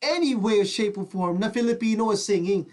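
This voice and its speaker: Dude cohosting online radio, pretty high voice, hyped and slangy, casual chat. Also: male voice, brisk, darkish tone. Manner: enthusiastic, slangy.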